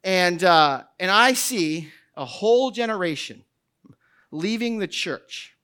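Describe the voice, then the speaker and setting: A man's voice: high-pitched, lively intonation, slow, sharp tone, smooth. A male pastor, preaching a sermon, rambling and joking with lots of fillers before building to emphatic points. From New England.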